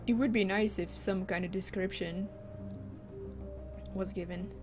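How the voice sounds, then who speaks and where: A woman's voice: mid-range voice, fairly even intonation, measured pace. A woman from Finland with a Finland-Swedish accent, whose first language is Swedish, chatting casually and thinking aloud as she plays a game. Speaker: let's play streamer. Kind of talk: casual gameplay commentary. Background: game music.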